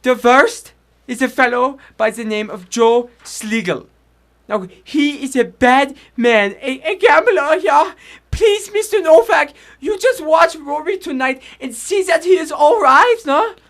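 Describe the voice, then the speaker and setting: An actor playing a timid old immigrant fight manager, pleading haltingly in 1940s radio style. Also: male voice, very high-pitched, lively intonation, a bit bright, heavily acted. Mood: anxious pleading. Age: older.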